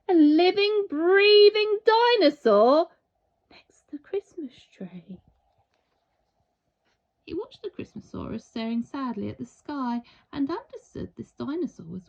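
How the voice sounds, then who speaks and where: A woman reading a children's storybook aloud with theatrical, deliberate, expressive storytelling. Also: sits high, vocal fry, highs cut off. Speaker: storybook reader.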